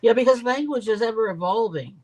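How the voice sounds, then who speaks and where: A woman's voice: on the high side, animated, brisk, a little mellow. A woman hosting a craft stream, chatting casually and mostly giving brief agreeing replies. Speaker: stream host. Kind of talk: casual chat while crafting.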